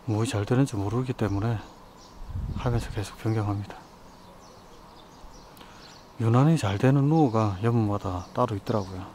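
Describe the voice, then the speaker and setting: A man hosting a fishing vlog, calmly explaining his lure choices and tactics outdoors by a rural pond. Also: fairly low pitch, normal speed, long pauses. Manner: calm, explanatory, practical tips. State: hot from the weather. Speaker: fishing channel host. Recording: outdoor noise, nature sounds. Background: birds and countryside ambience.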